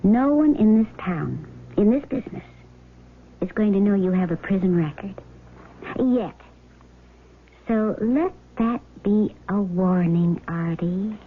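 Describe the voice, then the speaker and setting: Casting: domineering, ambitious young wife, commanding, mid-pitched, tinny vintage radio drama sound. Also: female voice, lively intonation, slow pace, pauses often. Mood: coldly threatening. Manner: commanding, emphatic. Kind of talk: 1970s radio drama acting. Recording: tinny old radio broadcast, faint noise.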